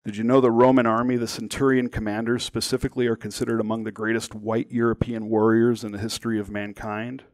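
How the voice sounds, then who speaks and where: A man voicing an intense older skinhead recruiter in an audiobook-style story, with a steady, earnest, authoritative manner. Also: fairly low pitch, fast, hardly any pauses, no room sound, clean studio sound, close to an everyday voice. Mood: persuasive, proud.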